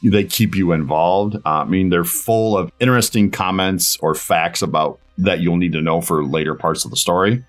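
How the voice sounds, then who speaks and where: A man being interviewed as a guest, speaking casually in a halting, tentative way as he thinks out loud. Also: low voice, soft background music.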